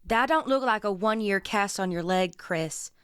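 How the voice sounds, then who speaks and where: A woman's voice: mid-range voice, normal speed, a bit bright, clear, resonant tone, no echo, clean studio sound, close to an everyday voice. A woman playing a blunt, protective, no-nonsense sister with an American accent in a naturalistic radio drama. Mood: blunt, skeptical. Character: blunt, protective adult sister, Texan mother.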